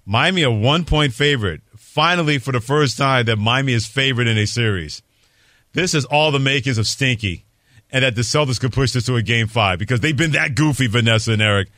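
A male sports radio host with a mid-pitched voice, bantering in a lively, emphatic way. He repeats words for effect, and the sound is close and dry, as in a studio. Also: brisk, no room sound.

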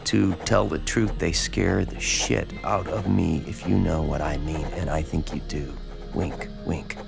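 Low, gravelly, lonely small-town man. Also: fairly even intonation, music in the background, heavily acted. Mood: conspiratorial. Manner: earnest, halting, awkwardly familiar. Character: lonely, awkward, obsessive small-town horror fan, abandoned husband. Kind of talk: dramatized letter read as monologue.

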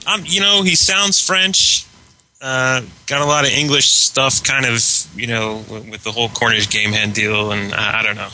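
A man's voice: mid-range voice, fairly even intonation, normal speed. A man as a podcast guest, chatting casually about gaming over a noisy microphone. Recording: loud background noise on his microphone.

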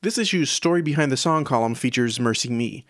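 A man reads scripted news as the host of an audio newsletter. His voice sits at a medium pitch, and music plays clearly underneath him. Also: lively intonation, fairly quick. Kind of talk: scripted narration. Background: background music.

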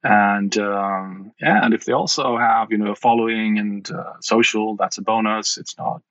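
A middle-aged man from Sweden with a Swedish accent, answering interview questions with many pauses and restarts. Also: fairly low pitch, steady tone, a bit bright, no room sound, muffled recording. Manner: hesitant, many fillers and restarts. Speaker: wine guide founder. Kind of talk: podcast interview.

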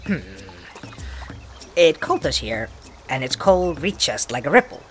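A woman's voice: low-pitched, sing-song, a little slow, soft background music. A woman voicing a wary, blunt male companion in a fantasy audio drama, with terse, earnest and rather formal speech.

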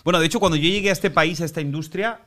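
A man's voice: on the high side, rapid-fire. A man from Spain with a Spanish accent, a TV presenter chatting playfully as a guest and telling stories with jokes. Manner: playful, jokey, animated storyteller. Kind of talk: casual chat.

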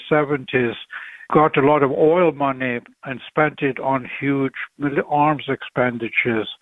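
A man speaks through a narrow, phone-like connection with little room sound. His voice is middling in pitch, and he explains like an interviewed historian, stopping and rephrasing as he goes. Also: no echo. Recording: muffled, lo-fi, radio-like line.

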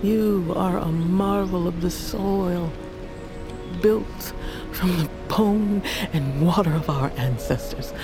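Proud old grandmother, fantasy poison master, grave commanding delivery, audio fiction, prominent music underneath. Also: fairly low pitch. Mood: solemn, consoling. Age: older. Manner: solemn, deliberate, commanding. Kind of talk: audio fiction drama acting. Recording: loud music behind the voice.

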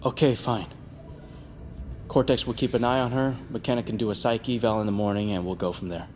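Audio drama, male actor as calm guarded leader and medic, lowish voice, measured authority. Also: slight background noise, close to an everyday voice.

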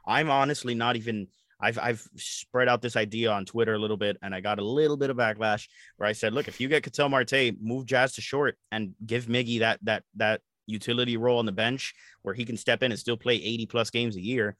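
Man, podcast host, casual sports chat, rambling, repeats words, mid pitch, dry sound. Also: fairly even intonation, fairly quick, a bit bright, no room sound.

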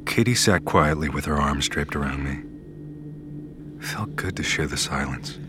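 An actor playing a wounded young survivor in an audio drama, narrating in a grave, brooding, measured way. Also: male voice, noisy background. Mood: somber, tender. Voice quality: very deep.

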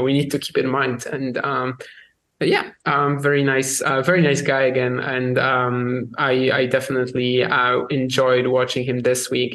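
A man's voice: mid-range voice, vocal fry, a bit bright. A man co-hosting a sports podcast, talking casually with many restarts and fillers. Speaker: podcast co-host.

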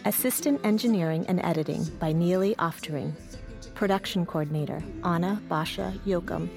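A woman's voice: fairly low pitch, fairly quick, vocal fry, a little mellow, soft background music. A woman announcer giving a scripted read of end credits.

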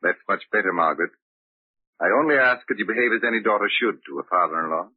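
A man's voice: fairly low pitch, expressive, quick pace, breathy edge, no room sound. An actor playing a bitter old father-in-law in a 1940s radio drama, condescending and coldly polite. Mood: mock courteous. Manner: mock-courteous, condescending. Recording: muffled narrow-band old radio recording.

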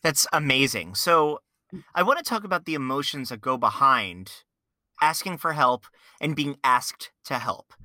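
A man's voice: medium pitch, expressive, measured pace, sharp tone, dry recording. A young adult man hosting a podcast, reflective and hesitant, with many fillers. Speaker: podcast host. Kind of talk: casual interview chat.

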